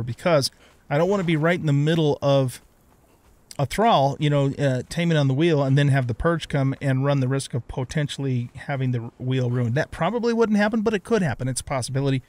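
A man's voice: mid-range voice, a little fast, a little mellow, dry recording. An older man with an American accent giving casual let's play commentary in a folksy, easygoing way, thinking aloud as he goes. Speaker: gaming video host.

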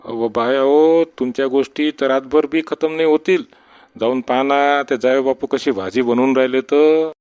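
A man's voice: mid-range voice, normal speed, a little mellow, smooth, lightly acted. A man voicing a fussy, complaining village father-in-law in a comedy cartoon, with a Varhadi Marathi accent. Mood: folksy. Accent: Varhadi Marathi from Vidarbha. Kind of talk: comedy cartoon dialogue in rural dialect. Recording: dull, muffled sound.

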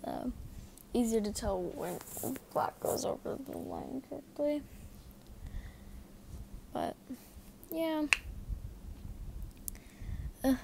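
A woman's voice: on the high side, creaky, dark tone, slight background noise. A tired young woman giving casual voice-over narration for her drawing video, rambling with lots of fillers and apologies, with the mic right next to her mouth.

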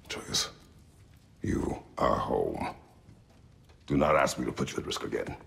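A man's voice: extremely low pitch, lively intonation, a little slow, gravelly, fairly bright tone, heavily acted. A man playing a stern, brooding, huge warrior father in a video game, terse and commanding. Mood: stern, grave. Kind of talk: video game drama acting.

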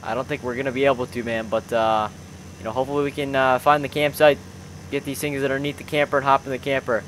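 A man's voice: mid-range voice, flat delivery, fast, fairly bright tone, a little hiss or hum, poor audio. A young man commentating on gameplay casually with friends, exclamatory and full of slang like bro and dude. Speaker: gaming video host.

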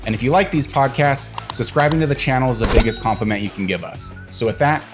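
A man's voice: mid-range voice, fast, music in the background. A young man hosting a podcast, reading a promo and asking his guests questions. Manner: upbeat promo read, then relaxed questions. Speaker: podcast host. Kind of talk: podcast host intro and questions. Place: home office.

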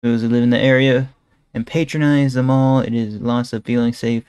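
A man's voice: medium pitch, steady tone, normal speed, a little mellow, clear, resonant tone, no echo, studio quality, faint music behind. A young man streaming a casual reaction, rambling with lots of fillers like "bro" and "guys", talking into a headset at home. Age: young adult. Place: indoor room at home. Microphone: headset, webcam framing.

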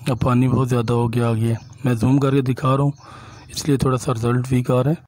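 Man, village vlogger, even flat delivery, mellow tone, outdoors, phone mic. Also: medium pitch, fairly quick, slight background noise. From Punjab, Pakistan. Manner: calm, explanatory, addresses viewers directly. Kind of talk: walking tour narration.

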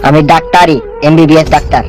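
Guy doing nosy cartoon doctor, matter of fact, talks really fast, music in background. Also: on the high side, steady tone, a little mellow, natural voice. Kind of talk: comedy cartoon dubbing.

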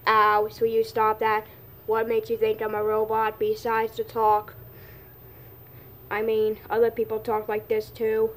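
A woman's voice: fairly high pitch, completely flat delivery, a little slow, a little hiss or hum, natural voice. A woman voicing an easily offended robotic dog in an amateur cartoon skit, with stilted, machine-like announcements. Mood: indignant, stilted. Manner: stilted robotic announcements among plain talk.

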